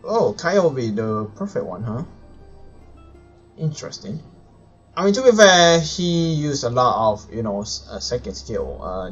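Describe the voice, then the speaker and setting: Unhurried man streaming, slightly dull audio. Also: medium pitch, slightly rough. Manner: muttering to himself, thinking aloud. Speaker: video game live streamer. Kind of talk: casual game commentary. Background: game music.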